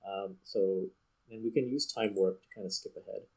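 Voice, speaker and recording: A man with a fairly low, husky voice hosts a gaming video. He talks casually over his own play, rambling and thinking aloud as he works through each choice. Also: normal speed, a little mellow, low-quality recording. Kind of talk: casual let's play commentary.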